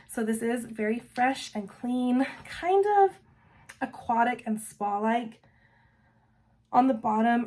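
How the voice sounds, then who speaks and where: A woman's voice: mid-range voice, darkish tone. A woman chatting casually in a product review, her voice still a little off after losing it to an illness, enthusiastic as she describes each scent. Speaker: vlogger reviewing scented wax.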